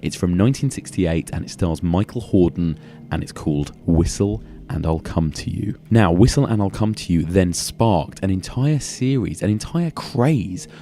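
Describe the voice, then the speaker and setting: A male podcast host who is hoarse and losing his voice, talking with enthusiasm and quick affirmations. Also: low voice, animated, warm tone, a little hiss or hum. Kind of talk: casual chat and scripted outro.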